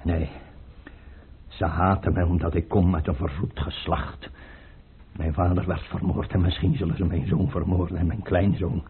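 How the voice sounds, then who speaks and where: An actor playing a tormented, paranoid Russian tsar in a theatrical radio play, agitated, imperious and repeating himself. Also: male voice, deep voice, fairly even intonation, normal speed, husky. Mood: frantic, despairing. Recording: muffled, narrowband old radio play recording.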